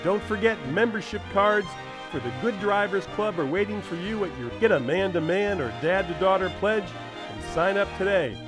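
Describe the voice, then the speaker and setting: A man's voice: high-pitched, moderate pace, music in the background. A man working as an announcer, reading in the style of 1950s radio sign-offs and sponsor spots.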